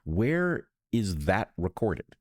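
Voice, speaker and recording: A man co-hosting an analytical podcast discussion, arguing deliberately with emphatic phrasing. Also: sits low, sing-song, darkish tone, no echo, clean studio sound. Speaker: podcast co-host, biologist.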